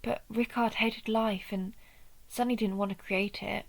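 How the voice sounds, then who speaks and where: A woman playing a wry vampire who hunts her own kind, speaking in a deliberate, hushed way in a scripted audio roleplay. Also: mid-range voice, fairly even intonation, creaky, poor audio. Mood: grave storytelling.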